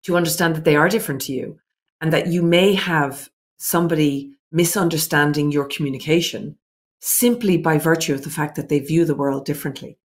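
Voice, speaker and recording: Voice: medium pitch, steady tone, a little fast. A speaker in a mid-range voice talks through a series of points in an interview. The tone is earnest and reflective, with frequent restarts as thoughts form. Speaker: business owner guest. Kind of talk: interview answers.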